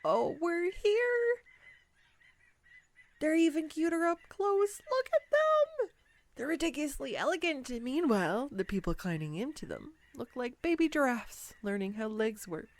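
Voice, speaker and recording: A woman playing a clumsy, nervous, giddy young woman on a first date in a romantic audio roleplay, rambling awkwardly and self-deprecatingly with halting stumbles. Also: high-pitched, very animated, exaggerated voice. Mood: delighted, teasing. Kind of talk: scripted romantic audio roleplay addressed to the listener.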